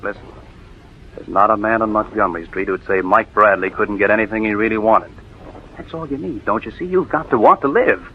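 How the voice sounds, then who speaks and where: A man's voice: on the low side, fairly quick, lightly acted. A man playing an earnest, impatient, devoted nephew in a 1940s radio drama, emphatic and given to stammering. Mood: earnest, urgent. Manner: emphatic, stammering when upset. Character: earnest, impatient young male heir, stubbornly devoted nephew. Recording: tinny, hissy old radio recording.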